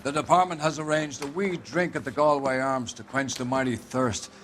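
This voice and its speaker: An actor playing a brisk, authoritative police captain briefing his squad in a formal, declamatory manner in a video game. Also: medium pitch, fairly bright tone, a little hiss or hum, lightly acted. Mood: wry, formal. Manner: commanding, declamatory.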